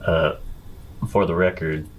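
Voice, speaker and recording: Low-voiced man role-playing, dry and measured. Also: little pitch movement. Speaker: tabletop game player. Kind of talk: in-character improvised role-play.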